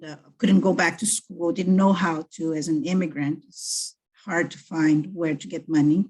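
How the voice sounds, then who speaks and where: Middle-aged woman from Brazil, husky, thoughtful guest who restarts her sentences. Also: lowish voice, steady tone, moderate pace, darkish tone. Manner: thoughtful, with frequent restarts and fillers. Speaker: guest, French teacher and PhD candidate. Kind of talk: casual interview chat.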